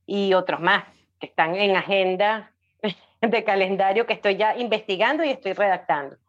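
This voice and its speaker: A woman's voice: mid-range voice, normal speed, highs cut off. A woman with a Latin American Spanish accent explaining things casually and emphatically as an interview guest, often repeating phrases and checking she is understood. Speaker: podcast guest, audio fiction writer.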